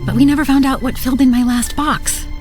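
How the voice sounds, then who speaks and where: A woman voicing a stubborn, restless young female soul in an animated film, speaking urgently over soft music. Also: sits high, fast talker, lightly acted. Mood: wistful.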